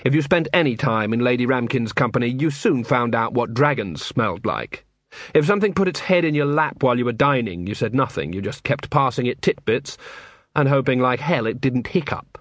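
A man voicing a gruff, wry captain of a city watch, dry and sardonic, in an audiobook. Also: medium pitch, lively intonation, brisk, fairly bright tone, no echo. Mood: grim, wry.

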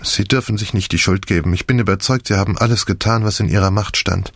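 A man's voice: lowish voice, fast, no echo. A man reading an audiobook, voicing a shocked, weary young woman in a lifeless, resigned manner. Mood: listless. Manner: lifeless, flat delivery. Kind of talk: audiobook dialogue.

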